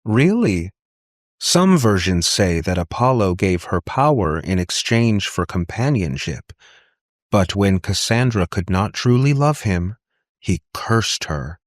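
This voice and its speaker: A man's voice: on the low side, sing-song, slow, no echo, clean studio sound. A man voicing a conciliatory aunt in an audiobook, tentative and anxious to connect. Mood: coaxing. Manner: tentative, placating. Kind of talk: audiobook character dialogue.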